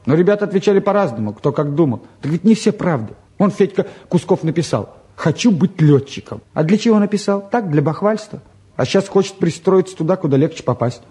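An actor playing a bored, restless eighteen-year-old younger son, grumbling and flippant in a naturalistic drama. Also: male voice, high voice, lively intonation, a little fast, dark tone. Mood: sardonic, frank. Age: young adult. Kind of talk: naturalistic stage and radio drama acting.

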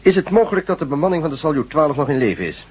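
A male actor playing a brisk, authoritative leader of a ragged rebel band in a science-fiction radio drama, curt and given to cursing. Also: a little high, animated, a little mellow, husky. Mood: businesslike. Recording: muffled old radio drama recording.

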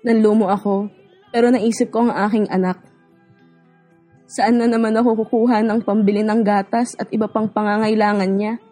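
A humble young working mother, played by an actress narrates her hardships in a radio drama. Her mid-pitched voice is warm and subdued, earnest and weary, with music beneath it. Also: level tone, normal speed, natural voice. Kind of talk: scripted first-person drama narration. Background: music bed.

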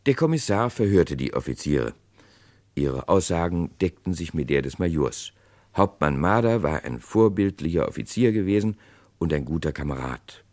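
A man's voice: deep voice, very animated, moderate pace, darkish tone, no room sound. A man giving dramatic scripted narration for a vintage radio crime play.

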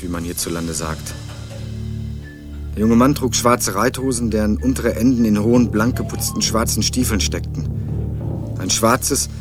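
An actor playing a young student in a radio crime drama, speaking in a dry, laconic, probing way. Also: male voice, fairly low pitch, lightly acted. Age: young adult. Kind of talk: 1980s radio crime drama, scripted narration and dialogue.

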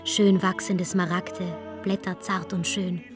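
A woman's voice: lowish voice, flat delivery, slow, music in the background. A woman narrator delivering dramatic, poetic scripted narration in a radio play.

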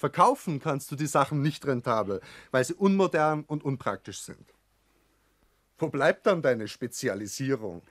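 Blunt, argumentative young Bavarian son. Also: male voice, mid-range voice, expressive, normal speed, fairly bright tone. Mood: scornful. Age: young adult. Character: ambitious, rebellious grown son of a builder. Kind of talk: 1960s German radio drama acting.

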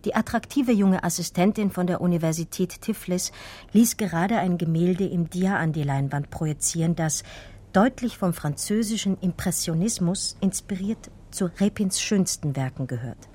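Radio drama lead, played by a woman: romantic female intellectual, lowish voice, breathy edge, measured and intimate storytelling. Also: mellow, lightly acted. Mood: dreamy, reflective. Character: romantic, daydreaming female art historian recalling an infatuation. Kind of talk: radio drama first-person narration, literary.

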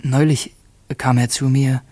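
A man's voice: mid-range voice, little pitch movement, mellow, no echo, close to an everyday voice. Man playing a brooding, conflicted would-be assassin, close and dry. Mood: anguished. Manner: introspective, halting, confiding. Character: brooding male conspirator and would-be assassin, torn by conscience. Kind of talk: German radio play, diary monologue and dialogue.

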